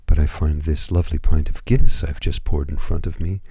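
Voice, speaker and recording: A hoarse man hosting a radio music show, talking calmly with many pauses and hesitations. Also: extremely low pitch, no echo. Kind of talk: slow, calm radio presentation.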